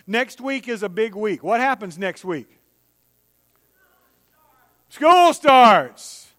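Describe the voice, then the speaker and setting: A man's voice: sits high, expressive, slow pace, a bit bright. A man preaching a conversational sermon, often restarting his sentences. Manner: conversational, with many restarts and acted-out quotes. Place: church. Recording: long church-hall reverb.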